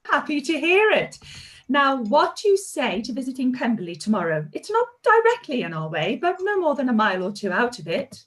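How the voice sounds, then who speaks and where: A warm, gushing aunt, voiced by an actress, her voice high and very lively in its pitch. She talks expressively in a live-read radio play. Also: fairly quick, full character voice. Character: warm middle-aged aunt, fond of home.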